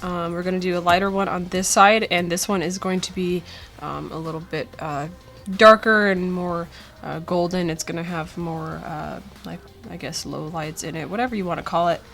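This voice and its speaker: A woman's voice: lowish voice, moderate pace, creaky, soft background music. Young woman with a tired, cold-worn voice. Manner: relaxed, chatty, with many ums and uhs. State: voice weak after a cold. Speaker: art tutorial host. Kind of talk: casual drawing tutorial voice-over. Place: indoors at a drawing desk.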